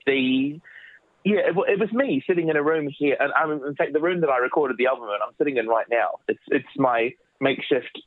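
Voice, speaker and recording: A man's voice: medium pitch, a little fast, dry recording. New man on a tinny line. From New Zealand. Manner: rambling with many restarts. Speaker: children's musician, guest. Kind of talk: casual interview chat. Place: home office studio. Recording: dry phone-like line.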